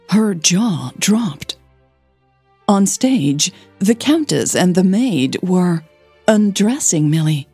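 A woman who narrates and hosts a fiction podcast, telling the story in a measured, dramatic way with deliberate pauses. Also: lowish voice, dark tone, slightly rough, dry recording. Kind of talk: scripted audio fiction narration.

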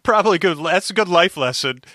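A man's voice: high voice, animated, a little fast, sharp tone, no echo, studio quality. A man chatting casually as a podcast guest, with a rambling, wry way of commenting.

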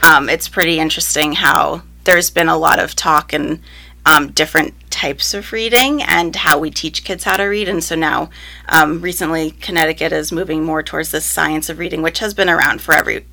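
Upbeat young woman teacher, bright voice. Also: deep voice, fairly even intonation, normal speed, vocal fry. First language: Spanish, alongside English. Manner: upbeat, frequent ums and likes. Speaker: first grade teacher, interviewee. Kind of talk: casual interview answers.

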